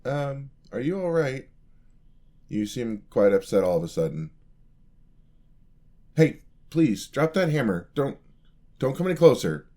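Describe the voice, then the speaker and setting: A man's voice: mid-range voice, big pitch swings, a little slow, pauses often, mellow. A man playing a clumsy, earnest young paladin apprentice in a fantasy ASMR roleplay, with a hesitant, bashful, polite stammer. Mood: alarmed.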